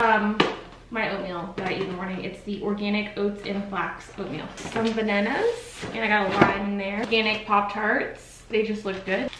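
A young woman chatting casually and informally in short thinking-aloud phrases, as a lifestyle vlogger filming her day at home. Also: fairly high pitch. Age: young adult. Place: apartment kitchen and rooms, indoors. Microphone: camera mic a few feet away. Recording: roomy, distant, noisy camera audio.

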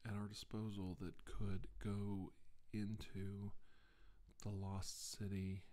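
A middle-aged man playing a shaken, solemn doctor giving a halting, subdued deposition in an ASMR roleplay. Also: lowish voice, completely flat delivery, dark tone. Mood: grave, deliberate. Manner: halting, hesitant, subdued, deliberate. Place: indoors, seated at a desk.

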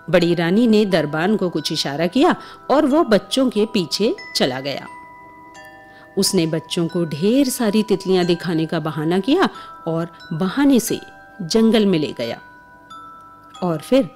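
A woman's voice: fairly low pitch, a little mellow. A woman narrating a scripted bedtime story for children as the storyteller. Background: music with chimes.

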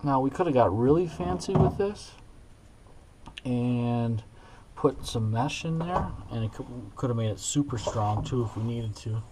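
A man's voice: mid-range voice, expressive, measured pace, very noisy. A man giving casual, plain-spoken how-to commentary as he works on auto body repair. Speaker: DIY auto body repair video maker. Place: indoors in a garage, working inside a car.

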